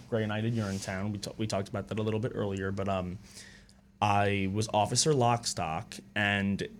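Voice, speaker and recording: A young man with a fairly low voice talks loosely as a radio interview guest. He stops and restarts often, filling gaps with small fillers, in an easygoing way. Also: a little hiss or hum. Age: young adult. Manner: relaxed, halting with many fillers. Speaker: guest, musical theatre student. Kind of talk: casual radio interview chat.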